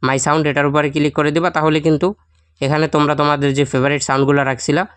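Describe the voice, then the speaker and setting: A man's voice: on the high side, level tone, fast talker, clean tone, no echo. A man narrating a step-by-step tutorial, addressing his viewers directly as host. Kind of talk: instructional screen-recording voice-over.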